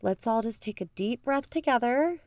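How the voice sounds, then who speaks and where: A woman's voice: a little high, animated, normal speed, darkish tone, no echo, studio quality. A woman playing a level-headed, reassuring podcast co-host in a conversational audio drama. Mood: calm, reassuring. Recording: music under the voice.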